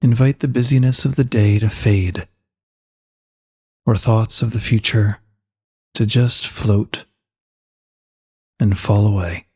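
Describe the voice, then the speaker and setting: A man leading a slow guided meditation in a calm, soothing and unhurried manner, with a soft husky voice. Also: on the low side.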